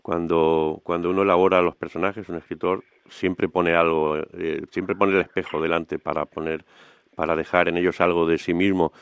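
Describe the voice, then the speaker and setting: A man's voice: sits low, level tone, normal speed, clear, resonant tone. A man hosting an interview, asking careful questions and murmuring brief agreement while his guest speaks. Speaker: podcast interviewer. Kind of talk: radio-style interview.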